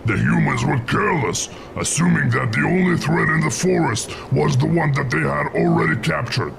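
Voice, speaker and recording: An actor playing an eager, fierce orc lieutenant reporting in a brisk, formal military manner in a game cutscene. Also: male voice, a little high, creaky, background noise. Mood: proud reporting. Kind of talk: video game cutscene acting. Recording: loud music underneath.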